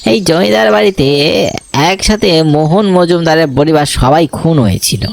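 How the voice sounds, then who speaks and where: A man's voice: on the high side, normal speed, gravelly. Man playing an easygoing young friend, music behind. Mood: matter-of-fact. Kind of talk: horror cartoon dialogue.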